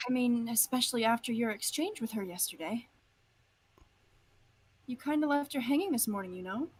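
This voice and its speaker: A woman playing a composed, gently chiding high school club president in a visual novel, with a slightly husky voice. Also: animated, moderate pace. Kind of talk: visual novel character acting.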